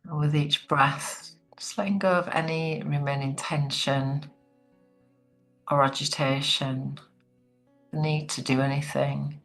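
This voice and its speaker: A woman leading a guided relaxation meditation in a soothing, gentle, unhurried manner. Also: sits low, vocal fry, poor audio.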